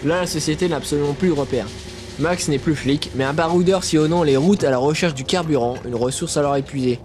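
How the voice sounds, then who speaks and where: A man's voice: fairly high pitch, fast talker, music in the background. A man hosting a film review show, reading scripted narration with casual, joking asides.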